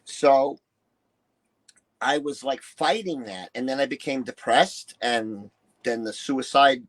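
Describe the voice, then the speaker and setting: A man's voice: medium pitch, slow, fairly bright tone. A man, telling personal stories candidly as an interview guest, blunt and emphatic, swearing freely and acting out other people's remarks. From New York City, United States. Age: early 60s. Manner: blunt, profane, emphatic, acts out quoted dialogue. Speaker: interview guest, retired musician and bodybuilder.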